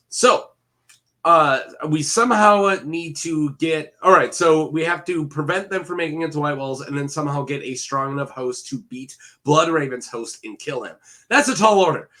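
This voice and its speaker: A man's voice: a little high, bright, forceful tone. A man getting over a head cold, hosting a rambling solo commentary video full of fillers and self-corrections. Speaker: video host.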